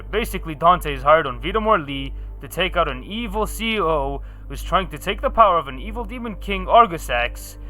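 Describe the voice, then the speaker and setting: A man's voice: on the high side, pushed and bright. A man narrating a scripted video game review in a sardonic, casual way.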